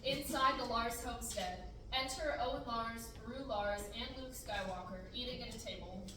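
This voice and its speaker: A woman's voice: on the high side, normal speed, bright, forceful tone. A young woman reading stage directions at a table read in brief, matter-of-fact announcements. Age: young adult. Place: large classroom indoors. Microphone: far camera. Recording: very echoey room, far-off mic, faint noise.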